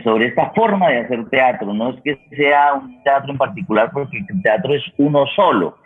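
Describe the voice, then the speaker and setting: Husky Colombian man on a phone-like line. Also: mid-range voice. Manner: halting, deliberate, searching for words. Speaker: radio forum host. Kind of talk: reflective, explanatory discussion. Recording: thin, phone-like remote line.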